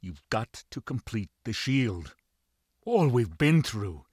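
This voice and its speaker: An old pirate lord from a video game, commanding and fatherly. The man speaks in a mid-pitched voice with a dry, close sound. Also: no room sound. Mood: tender, earnest. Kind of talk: video game cutscene acting.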